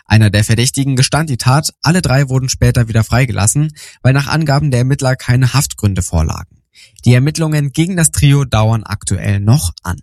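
A man presents regional radio news from a script. His voice sits at an average pitch for a man, and the sound is very dry and close. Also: darkish tone, dry recording.

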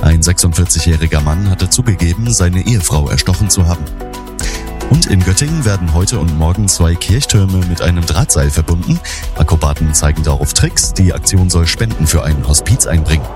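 Deep-voiced male newsreader. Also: animated, music in the background. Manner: measured, formal. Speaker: news presenter. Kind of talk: scripted regional news read.

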